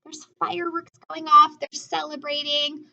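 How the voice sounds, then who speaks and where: A woman's voice: sits high, flat delivery, moderate pace, gravelly, bright, forceful tone, highs cut off. A woman teacher reading aloud to young children, explaining as she goes, asking questions and repeating key words in an instructive way.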